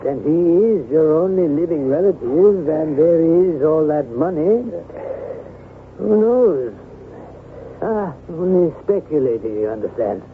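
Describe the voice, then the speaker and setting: An actor playing a languid, foppish gentleman friend, slyly insinuating, with a genteel, drawling, musing manner in old-time radio drama. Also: fairly high pitch, expressive, measured pace. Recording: noisy, tinny old radio broadcast.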